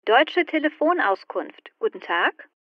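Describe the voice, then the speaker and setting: A woman playing a polite phone operator who reads numbers out slowly and clearly in a scripted learner dialogue. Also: high-pitched, very animated, no room sound, dull audio. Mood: businesslike. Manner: slow, clear dictation of numbers. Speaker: directory assistance operator.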